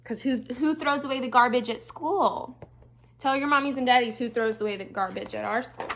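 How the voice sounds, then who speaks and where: A woman, an elementary school teacher, reading a storybook aloud to young children with expressive delivery and casual, chatty asides. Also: fairly high pitch, fairly quick.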